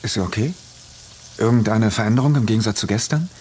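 An actor playing an uneasy man on a trip with friends in a naturalistic audio drama, speaking hesitantly and haltingly. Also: fairly low pitch, darkish tone, a little hiss or hum, lightly acted. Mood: concerned.

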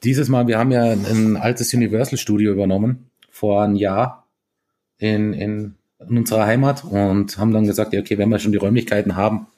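A man from East Tyrol, a band musician, talking casually in an interview, relaxed and chatty with many fillers and restarts. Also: on the low side, brisk. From East Tyrol, Austria.

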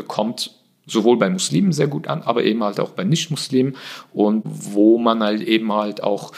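German-raised man, low gravelly voice, explains his work at an even, thoughtful pace with frequent hesitations. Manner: earnest, measured, frequent hesitations. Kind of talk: explanatory interview answers.

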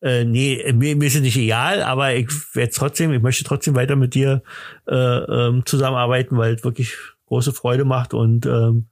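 A man's voice: medium pitch, little pitch movement, normal speed, dry recording, clean studio sound. A man hosting a podcast in casual, chatty talk, rambling enthusiastically with many hesitations and broken-off sentences. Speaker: podcast host.

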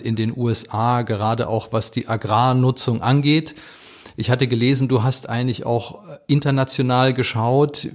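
Friendly male interviewer. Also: medium pitch. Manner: friendly, fluent questions with some fillers. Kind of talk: casual interview.

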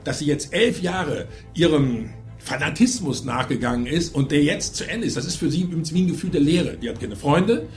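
A man with a slightly high voice argues his view as a film critic in a review discussion. He is insistent and emphatic, pressing each point. Music plays behind him. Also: lively intonation, moderate pace.

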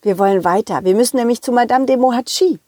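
A woman's voice: on the high side, quick pace, dark tone, dry recording, studio quality, close to an everyday voice. A woman voicing a confident, loyal, friendly dog who tells children's audio stories and speaks straight to young listeners. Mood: cheerful, friendly. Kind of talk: children's audio play narration.

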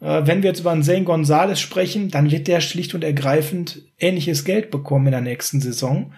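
A middle-aged man hosts a sports podcast in a slightly raised voice. He is chatty and direct, addresses his listeners and his co-host, and often ends a point with a quick "ne?". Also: fairly high pitch, darkish tone. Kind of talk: casual sports podcast discussion.

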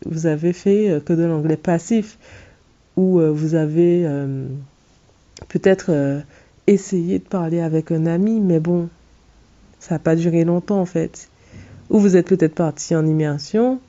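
Earnest woman with a dark, mellow voice. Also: lowish voice, dull audio. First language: English. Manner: earnest and direct, many fillers and restarts. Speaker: podcast host and English coach. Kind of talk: solo podcast monologue giving advice.

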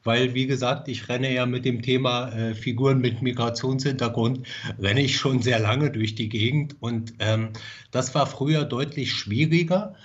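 A man's voice: mid-range voice, steady tone, normal speed, husky. Hesitant male screenwriter, dull sound. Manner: hesitant, many fillers. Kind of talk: interview answers.

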